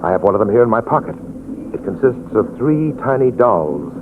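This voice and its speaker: An actor playing a haunted, uneasy gentleman in earnest, hesitant 1940s radio style. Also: lowish voice, very animated, fairly quick. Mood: grave, weary. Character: haunted, uneasy gentleman fearing a voodoo curse. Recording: noisy, tinny old radio recording.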